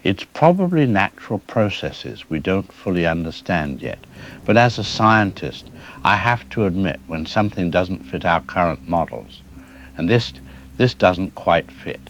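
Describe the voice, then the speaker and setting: A man playing a measured, cautious geologist explaining things as an expert guest in an audio drama interview. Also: sits low, sing-song, lo-fi sound, slight background noise, heavily acted. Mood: measured, puzzled.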